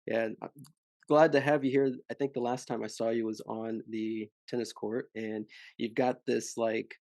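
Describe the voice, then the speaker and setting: A young man hosting a podcast interview, talking in a relaxed, friendly way with a few fillers. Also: medium pitch, normal speed, no room sound. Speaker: podcast host. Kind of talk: casual interview.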